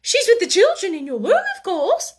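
Storybook role: meek, fretful younger sister voiced by a woman, very high, stammering, easily flustered. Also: huge pitch swings, moderate pace, full character voice. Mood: bewildered. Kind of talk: dramatised storybook reading.

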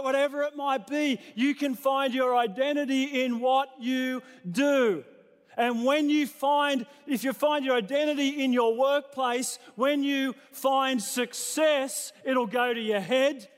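An Australian man preaches in a strikingly high voice that rings with the long reverb of a hall. He sounds like a pastor talking plainly to his congregation, poking fun at himself and stacking repeated phrases to make his points. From New South Wales, Australia. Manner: conversational, self-deprecating, repeats phrases in lists. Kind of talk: sermon to a congregation.

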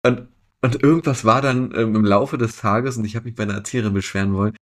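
A man's voice: lowish voice, steady tone, fast. A young man co-hosting a podcast, chatting and telling personal stories in a blunt, colloquial way. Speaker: podcast co-host. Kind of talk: casual chat, personal storytelling.

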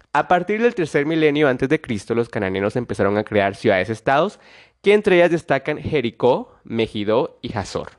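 Congested man hosting a podcast. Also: mid-range voice. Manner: casual, frequent tag questions and small restarts. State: nasal congestion from a cold. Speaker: podcast host. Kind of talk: solo history podcast narration.

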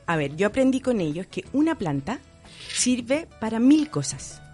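A Chilean woman talking as a guest in a relaxed radio interview. She explains things eagerly and laughs now and then. Faint music plays under her voice. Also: on the high side, normal speed. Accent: Chilean Spanish. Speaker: guest entrepreneur.

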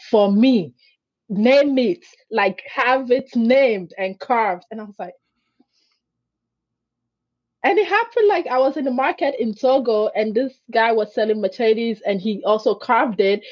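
A woman whose first language is Greek, a guest in an interview who tells stories in an animated way and acts out the voices she quotes. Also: a little high, normal speed, husky, no room sound, muffled recording. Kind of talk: conversational interview.

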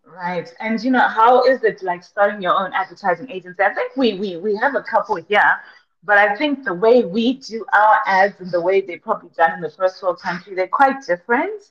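A woman's voice: medium pitch, a little fast, a bit bright. A woman hosting a podcast interview, chatty and loose in her phrasing. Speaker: podcast host. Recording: dull lo-fi audio.

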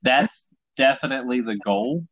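A man's voice: medium pitch, moderate pace, a bit bright, no echo. A thirty-year-old man from Tennessee with a Southern US accent, chatting casually as a podcast host, wry and sweary, acting out what people say. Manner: wry, sweary, acts out quoted remarks. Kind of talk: casual comedic chat.

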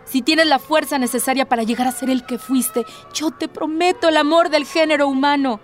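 Woman acting as high-voiced impassioned redeemer in radio drama. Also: steady tone, moderate pace, faint music behind, lightly acted. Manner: earnest, declamatory, theatrical. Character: Virgia, impassioned devout woman pleading to redeem Satan.

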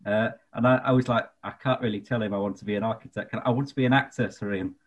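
A man's voice: on the low side, steady tone, fast talker, muffled recording. A young British man chatting casually on a podcast, telling anecdotes in a hesitant, self-effacing way. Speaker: podcast co-host.

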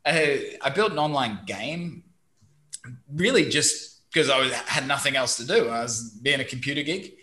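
Aussie guy rambling through stories on a podcast, bright punchy voice. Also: medium pitch, expressive, moderate pace. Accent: Australian. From Australia. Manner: chatty, storytelling, mild swearing. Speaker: guest, digital marketing company owner. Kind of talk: casual podcast interview.

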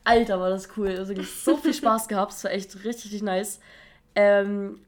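A woman's voice: medium pitch, fairly even intonation, moderate pace. A young woman in her twenties co-hosting a casual chat podcast, slangy and chatty, laughing often, talking while she has a cold. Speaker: podcast co-host.

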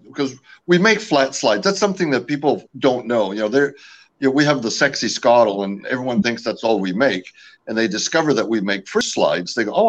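A man's voice: medium pitch, poor audio. A man from California, raised speaking French at home, talking about his company's products in a casual interview, with many fillers and restarts. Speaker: company representative.

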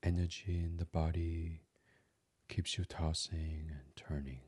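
A man with a deep, breathy voice leads a bedtime relaxation in ASMR style. He speaks very slowly and softly, often dropping almost to a whisper. The sound is close and dry, with no room around it. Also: level tone, no echo. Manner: hushed, soothing delivery slipping into near-whisper. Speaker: meditation podcast host. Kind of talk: guided sleep meditation, ASMR.

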